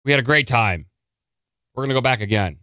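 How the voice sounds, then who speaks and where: A man's voice: medium pitch, lively intonation, pushed and bright, no room sound, clean studio sound. A man hosting a live stream in a chatty, rambling way, a little congested and nasal. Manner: chatty, rambling, quick to gripe. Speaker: podcast host. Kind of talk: casual live-stream talk.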